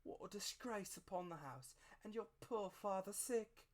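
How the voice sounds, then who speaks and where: Innkeeper's wife for a storybook, played by a male reader: fretful mother, raised pitch, fussy lamenting delivery. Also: measured pace. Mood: dismayed.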